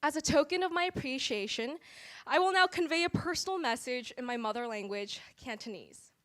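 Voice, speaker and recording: A teenage girl with a high, light voice reads a prepared acceptance speech. Her delivery is formal and measured, with a sincere, earnest tone. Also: expressive, fairly bright tone. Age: teens. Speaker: student award honoree. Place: awards event hall.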